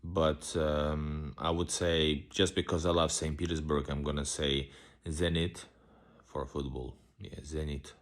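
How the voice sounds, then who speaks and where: A man's voice: rumbling low, level tone, a little slow. A man hosting a casual live-stream Q&A as a vlogger, rambling loosely with many restarts and fillers. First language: Russian. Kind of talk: casual live-stream chat answering comments.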